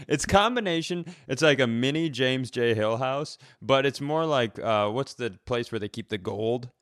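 An American man chats casually as a comedy podcast co-host. His voice sits at a middle pitch with a dry, roomless sound, and he rambles into digressions as he talks. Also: lively intonation, normal speed, fairly bright tone, no echo. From Minnesota, United States.

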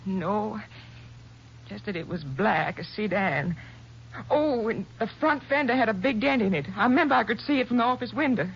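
An American actress playing a distraught, earnest nurse in a 1950s radio drama, speaking in a halting, emotional way. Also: female voice, mid-range voice, animated, lightly acted. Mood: shaken, recalling. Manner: tremulous, halting, emotional delivery. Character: distraught, shaken female nurse, devoted and earnest. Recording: muffled, noisy 1950s radio recording.

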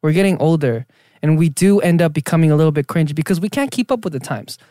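A woman in her thirties hosting a casual chat, lively and laughing often. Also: low-pitched, fast talker, no room sound, clean studio sound. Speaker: podcast host.

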